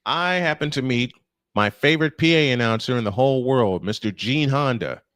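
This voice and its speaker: A man's voice: medium pitch, normal speed, a bit bright, no echo, clean studio sound. A man calling live baseball play-by-play in punchy, clipped phrases, mixed with casual chat. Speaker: play-by-play announcer and host.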